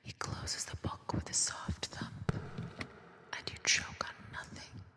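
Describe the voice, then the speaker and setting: Female ASMR storyteller, crisp bright whisper, deliberate scripted narration with dramatic pauses, close binaural mic. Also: long reverb tail. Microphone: close binaural microphone.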